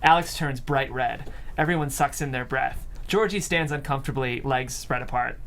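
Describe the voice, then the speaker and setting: A narrator reading stage directions aloud at a comedy table read in an amused, animated way. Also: mid-range voice, moderate pace, a little hiss or hum.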